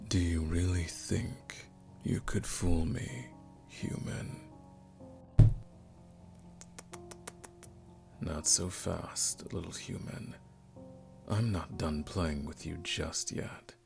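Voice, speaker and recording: A man playing a suave, predatory aristocratic vampire in an intimate ASMR roleplay, speaking formally and seductively with a teasing menace and breathy drops toward a whisper. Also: low-pitched, fairly even intonation, slow pace, over music, full character voice. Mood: menacing, playful.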